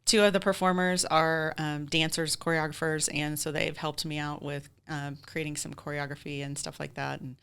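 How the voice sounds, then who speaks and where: Bright, clear-voiced woman, lowish pitch. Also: little pitch movement, moderate pace, hardly any pauses. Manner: enthusiastic, explanatory. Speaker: podcast guest, theater director. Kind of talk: casual interview chat.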